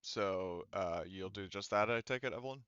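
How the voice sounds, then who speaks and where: Low, smooth-voiced man running a game. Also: a bit bright, no echo. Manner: halting, casual delivery. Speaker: game master. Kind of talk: narrating a tabletop roleplaying game. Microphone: online voice chat microphone. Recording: dull, dry online voice chat.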